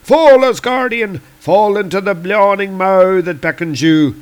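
A man's voice: high voice, unhurried, smooth, voice pushed up. A man voicing a proud, furious warrior villain from a comic book, declaiming in grandiose archaic speech. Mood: gloating. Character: Lear, proud furious Celtic warrior villain, bombastic and defiant. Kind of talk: reading comic book dialogue aloud.

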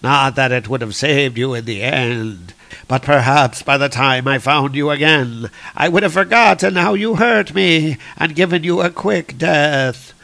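Man acting a menacing, gravelly god-killing villain. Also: on the high side, bright, forceful tone, dry recording, heavily acted. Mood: cruel threatening. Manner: slow, taunting, theatrical.

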